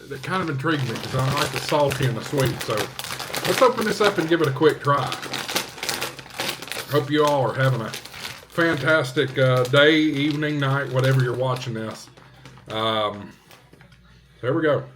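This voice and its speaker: A man talking casually to viewers as a vlog host, in an unhurried, halting way with short phrases. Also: mid-range voice. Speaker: vlog host, ham radio hobbyist.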